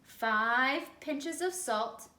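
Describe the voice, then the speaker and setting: A scruffy storybook varmint, acted out by a woman, gruff and ill-tempered, snarls and barks out orders. The voice sits in an echoey room. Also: sits high, extremely slow, bright, forceful tone, pitched above the performer's own voice, exaggerated voice. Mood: bossy.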